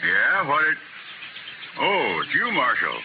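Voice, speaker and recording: An actor playing a flustered, hesitant small-town judge in an old-time radio western, halting and stammering as he talks. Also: a little high, wildly swooping pitch, heavily acted. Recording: dull, very noisy old radio recording.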